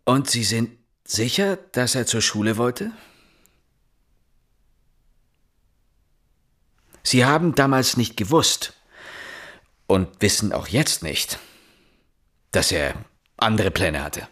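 An actor playing an intense, relentless police inspector in a German radio drama, pressing with insistent, halting questions. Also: male voice, mid-range voice, very animated, a bit bright, exaggerated voice. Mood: quiet, probing. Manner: insistent, with halting repeated words and pointed questions. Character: male police investigator interrogating, intense, relentless, exasperated.

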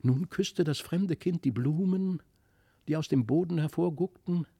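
A man reads a fairy tale in with expressive, literary phrasing. His voice sits fairly high for a man but stays mellow and soft-edged. The sound is dry and close. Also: a little slow, gravelly, breathy edge, no echo. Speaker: audiobook reader.

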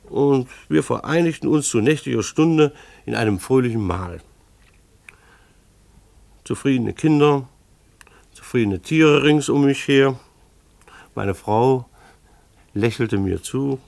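A harried father telling of his household in a radio play. His voice is mid-pitched with a gravelly creak, recorded close and dry. He speaks with ironic formality and deliberate pauses. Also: a little mellow, no room sound. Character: harried, ironic husband and father narrating family chaos. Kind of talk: literary radio drama narration.

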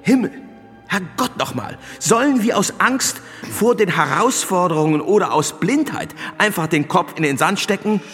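An actor playing a strict, agitated reformist bishop in a German radio drama, speaking emphatically and with indignation. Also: male voice, high-pitched, expressive, moderate pace, a bit bright. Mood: agitated, indignant. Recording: long hall reverb, music behind.

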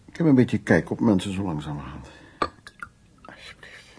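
An actor playing a worldly, lonely, insistent suitor in a naturalistic radio drama, musing and teasing in a husky, gravelly voice. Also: male voice, sits low, animated, normal speed, dark tone, a little hiss or hum. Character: worldly, insistent, lonely man courting a younger woman.

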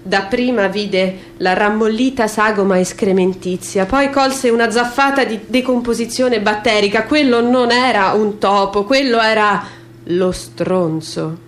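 A woman's voice: mid-range voice, measured pace. A woman narrating a piece of fiction in a dramatic, theatrical reading style. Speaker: stage reader, narrator. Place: live radio theatre reading.

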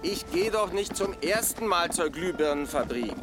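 A man's voice: high-pitched, lively intonation, moderate pace, over music. An actor playing a bossy, matter-of-fact male maintenance engineer in a German radio drama. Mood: brisk, impatient. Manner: brusque, matter-of-fact, instructing.

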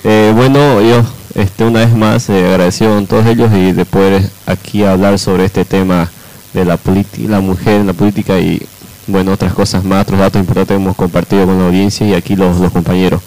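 A man co-hosting radio, speaking off the cuff in a hesitant, halting way. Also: low-pitched, fairly even intonation, a little mellow, low-quality recording. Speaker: radio show co-host. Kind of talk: unscripted radio sign-off.

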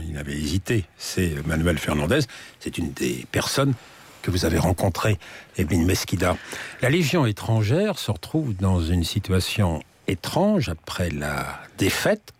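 Deep, husky radio host. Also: male voice, no echo. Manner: measured, probing questions. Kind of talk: radio history interview.

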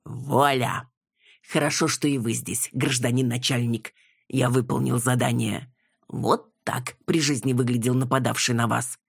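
A woman's voice: a bass voice, steady tone, a little slow, gravelly, husky, dry recording, studio quality, heavily acted. A woman voicing a showy, self-satisfied man in an audiobook. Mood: proud. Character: showy man proudly presenting his finished work.

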